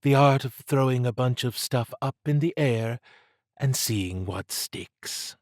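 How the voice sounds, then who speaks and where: A man's voice: mid-range voice, slow pace, dry recording, clean studio sound, pitched above the performer's own voice, close to an everyday voice. A man voicing an authoritative, wry woman who commands a secret organisation, in audiobook dialogue. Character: brisk, resolute woman commander of a secret foundation.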